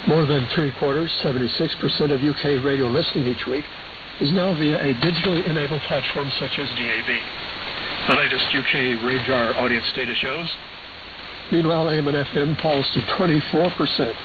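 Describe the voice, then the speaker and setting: A man presenting a radio programme, reading scripted news and listener reports in a measured, matter-of-fact way over shortwave radio. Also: medium pitch. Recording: hissy, dull AM shortwave radio reception.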